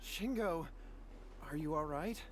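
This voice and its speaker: A man's voice: a little high, slow, a little hiss or hum. A man playing a fearful, humbly polite young man in a video game, earnest and worried.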